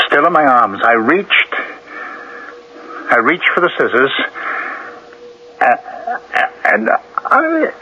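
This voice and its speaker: A man's voice: mid-range voice, pushed and bright, slight background noise, full character voice. An actor playing a troubled, guilt-ridden married man in a 1970s radio drama, speaking hesitantly and earnestly. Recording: muffled vintage radio recording.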